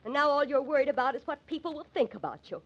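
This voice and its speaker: An actress playing an emotional, headstrong young sweetheart, pleading and defiant, in old-time radio drama style. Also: female voice, high voice, lively intonation, rapid-fire, muffled recording. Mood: bitter, defiant. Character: distraught, defiant young sweetheart, a grieving daughter.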